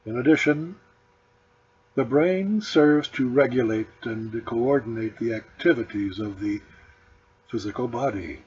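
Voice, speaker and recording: A man reading his own spiritual book as a scripted audiobook, with solemn, deliberate, measured phrasing and pauses. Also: medium pitch, a little mellow, slightly rough. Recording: dull lo-fi recording with background noise.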